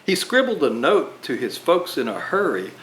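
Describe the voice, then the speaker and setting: A man reading a rhyming children's Christmas story aloud with dramatic, deliberate phrasing. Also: mid-range voice, sing-song, a bit bright. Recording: roomy echo, faint background noise.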